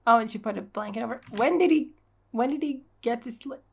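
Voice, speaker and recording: A woman's voice: fairly high pitch, a little fast, vocal fry, breathy edge. A woman giving a casual read-along reaction as host, with informal, wry, sarcastic commentary.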